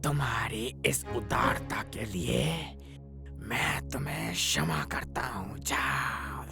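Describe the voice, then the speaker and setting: An actor voicing a great serpent king in a cartoon, commanding and solemn, with a slow, whispery, gravelly drawl. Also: medium pitch, very animated, exaggerated voice. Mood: solemn, forgiving. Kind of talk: Hindi moral-story cartoon acting. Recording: music behind the voice.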